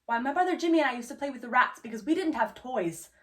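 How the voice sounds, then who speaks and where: A woman performing a stage monologue as a haunted, defiant young teacher, speaking plainly and deliberately with pauses. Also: high-pitched, lively intonation. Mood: grim, matter-of-fact.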